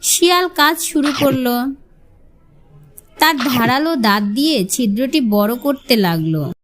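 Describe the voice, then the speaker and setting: A woman's voice: sits high, lively intonation, measured pace. A woman storyteller narrating a scripted fable for children.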